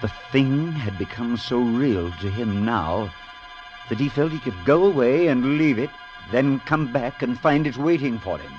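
An actor playing a sensible, concerned male priest in an old-time radio drama, measured, skeptical and earnest in both dialogue and narration. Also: mid-range voice, lively intonation, a little mellow, natural voice. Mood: grave, troubled. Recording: dull vintage radio recording, music behind.

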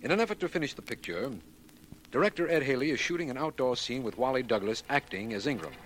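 Male actor as earnest American prosecutor, medium pitch, lively delivery, formal, insistent, old radio mystery, slight background noise. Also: fast talker, close to an everyday voice. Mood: formal, narrating. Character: brisk, skeptical male district attorney, official and earnest. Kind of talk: 1940s radio mystery acting and narration. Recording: faintly noisy vintage radio recording.

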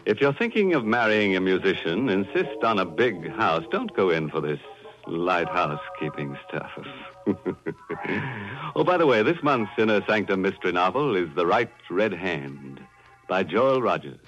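Deep-voiced sardonic male horror host. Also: sing-song. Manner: sardonic, macabre chuckling, drawn-out sign-off. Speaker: mystery show host. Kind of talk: 1940s radio horror hosting, tongue-in-cheek. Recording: muffled 1940s radio recording, music behind.

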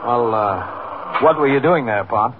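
A man's voice: mid-range voice, slow pace, lightly acted. An actor playing a matter-of-fact male police captain and narrator in a 1950s radio drama, formal and procedural. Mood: businesslike. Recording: noisy, tinny 1950s radio recording.

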